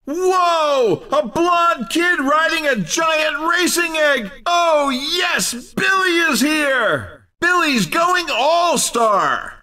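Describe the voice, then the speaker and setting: An actor voicing a hyped-up, playful race commentator in a video game, with theatrical, exclamatory delivery. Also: male voice, extremely high pitch, expressive, drawn-out words, pushed and bright, exaggerated voice. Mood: gleeful hype. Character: male hyped-up, playful arcade racing game announcer.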